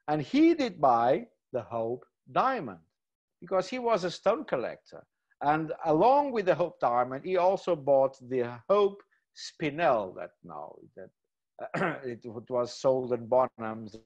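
A middle-aged man talks through slides in an informal online lecture. He is keen and repeats his words, with plenty of fillers. His voice is mid-pitched and comes through slightly dull webinar audio from a home computer mic. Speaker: gemmologist giving a webinar lecture.

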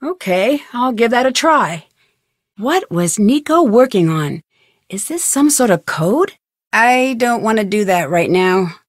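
A woman playing a young, curious and determined amateur detective in a video game, thinking aloud in clearly articulated scripted lines. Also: on the high side, sing-song, a little slow, dry recording, studio quality. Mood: musing, focused.

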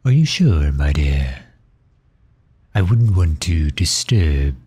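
A man's voice: rumbling low, big pitch swings, slow, warm tone, breathy edge, heavily acted. A man playing a refined, courteous villain and inventor in an intimate audio roleplay, speaking formally and solicitously, as if musing aloud. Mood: apologetic, courteous. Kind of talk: intimate audio roleplay, ASMR-style acting.

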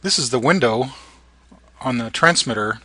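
A man with a bright, forward voice narrates an informal how-to. His phrasing is halting, broken into short pieces, and the sound is dry and close. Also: mid-range voice, lively intonation, no room sound. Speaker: amateur radio operator and narrator.

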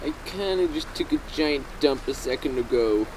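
A man voicing a naive, excitable male alien warrior in a game machinima, talking in a childlike, goofy way. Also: mid-range voice, normal speed, a little hiss or hum, natural voice, rough recording. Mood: dopey. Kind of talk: amateur game machinima acting.

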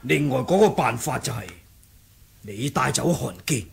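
Husky, cunning warrior monk. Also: male voice, mid-range voice, expressive, heavily acted. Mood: calm scheming. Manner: deliberate, sly bargaining. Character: cunning martial monk who feigned muteness, scheming and composed. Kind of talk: Cantonese 1980s radio drama acting.

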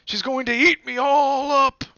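A man voicing a big hungry bear in a storybook, blustering loudly. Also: medium pitch, big pitch swings, normal speed, dry recording, voice pushed up, exaggerated voice. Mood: panicked. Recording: slightly muffled recording.

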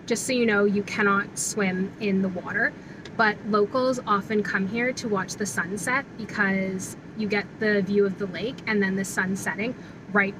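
A woman's voice: mid-range voice, background noise. A woman in her thirties, a travel vlogger, giving chatty, informative commentary into a phone held at arm's length in a car. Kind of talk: casual travel vlog chat. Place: inside a car.

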